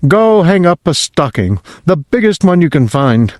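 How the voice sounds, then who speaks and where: A man's voice: fairly high pitch, sing-song, measured pace, no room sound, clean studio sound, voice pushed up. A man voicing a proud, poor peasant father who speaks sternly and reassuringly. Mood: resolute.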